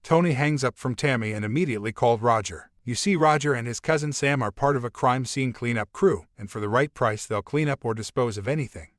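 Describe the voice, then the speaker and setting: A man's voice: medium pitch, brisk, hardly any pauses, no room sound. Dry-sounding male story narrator.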